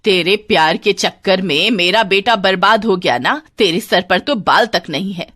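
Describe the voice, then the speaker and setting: A woman's voice: moderate pace, fairly bright tone, close to an everyday voice. A woman voicing a harsh, scolding middle-aged mother-in-law in a cartoon story. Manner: shrill, scolding.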